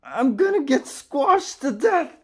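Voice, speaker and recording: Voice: high-pitched, very animated, slow, darkish tone, voice pushed up, full character voice. A vlogger voicing a flustered, naive anime character who whines and exclaims in panic. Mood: panicked, strained.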